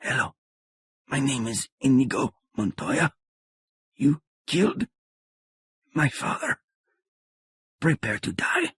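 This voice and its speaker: A husky-voiced man voicing a wounded, vengeful swordsman who speaks with hushed, grim intensity. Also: mid-range voice, expressive, unhurried, no echo, studio quality, voice pushed up. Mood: hushed menace.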